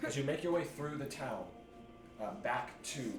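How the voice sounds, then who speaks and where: A man's voice: medium pitch, moderate pace, distant mic. A man narrating a tabletop roleplaying game as the game master. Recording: roomy sound, loud music behind.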